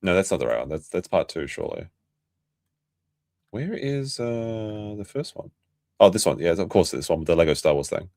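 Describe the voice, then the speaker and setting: Low-voiced man rambling on a stream. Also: big pitch swings, normal speed, creaky, a little mellow, dry recording, clean studio sound. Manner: rambling, offhand, restarts mid-sentence. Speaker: streamer host. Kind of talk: casual late-night livestream commentary.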